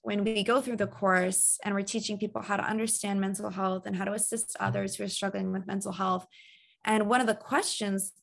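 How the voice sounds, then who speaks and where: A woman hosting a conversational interview in an encouraging, measured way. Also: mid-range voice, little pitch movement, vocal fry. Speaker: interviewer, mental health trainer.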